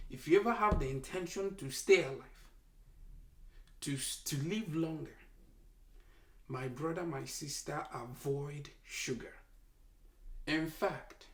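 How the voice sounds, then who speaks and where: Nigerian-accented doctor, slow and emphatic, gravelly tone, preaching health advice, recorded in an echoey room from a distance. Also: medium pitch. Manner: emphatic, exhorting, repeats lines for effect. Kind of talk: preachy health talk to camera.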